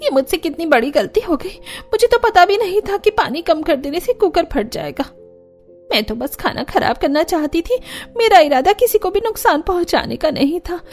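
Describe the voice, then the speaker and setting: A woman's voice: very high voice, lively intonation, moderate pace, soft background music, pitched above the performer's own voice. A woman voicing a jealous, guilt-stricken young daughter-in-law in a cartoon drama, pleading defensively and with emotion. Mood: horrified, guilty. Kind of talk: Hindi cartoon family drama.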